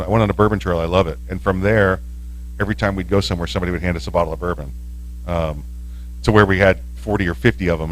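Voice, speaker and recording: A man's voice: deep voice, slight background noise, rough recording. A man who hosts talk radio, chatting casually on a panel stream and rambling with frequent restarts and fillers.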